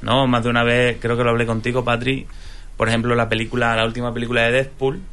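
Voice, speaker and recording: A man's voice: mid-range voice, level tone, quick pace, a bit bright, soft background music. A man from in a casual film discussion, opinionated and fond of rhetorical questions. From Spain. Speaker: podcast panelist.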